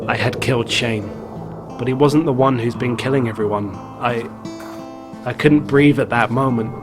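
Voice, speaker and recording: A male actor plays a troubled middle-aged father in a horror game. He recounts dark events as a dramatic first-person narrator, haunted and unstable, at an ordinary male pitch over clear background music. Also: steady tone, normal speed, lightly acted. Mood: shaken, grim.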